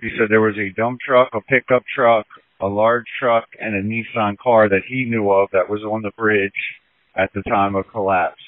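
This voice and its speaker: A male fire rescue responder giving deliberate, emphatic reports over an emergency two-way radio. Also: lowish voice, fairly even intonation, dry recording. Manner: deliberate, repeats key warnings. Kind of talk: emergency radio dispatch traffic. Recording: narrow tinny two-way radio transmission.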